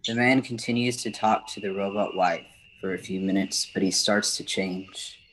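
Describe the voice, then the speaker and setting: A man with a fairly low voice serves as narrator of a radio play. He reads scripted scene descriptions. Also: fairly even intonation, normal speed, a bit bright, slight background noise, low-quality recording.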